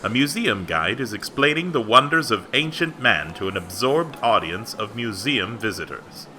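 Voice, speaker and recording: A man's voice: lowish voice, expressive, moderate pace, pushed and bright, slight background noise. A man playing an earnest 1940s radio announcer, emphatic and formal like a salesman. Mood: earnest, urging. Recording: music behind the voice.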